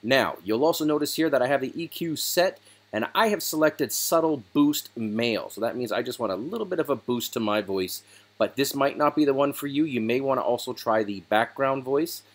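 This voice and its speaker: A man hosting a software tutorial, explaining each step in a casual, relaxed way over a screen recording. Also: mid-range voice, brisk.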